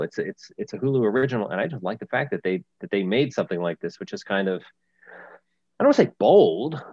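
Low-voiced guy co-hosting a podcast, rambles and restarts a lot, dry dull lo-fi sound. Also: darkish tone, no echo. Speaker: podcast co-host. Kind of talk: casual chat.

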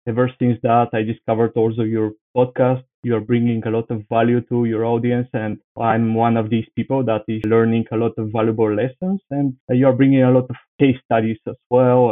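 A man in his thirties from Bulgaria with a Bulgarian accent, a podcast guest telling his story earnestly with frequent "uh" pauses. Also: medium pitch, level tone. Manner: earnest, many "uh" pauses, careful phrasing. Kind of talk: conversational interview. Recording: tinny phone-like line.

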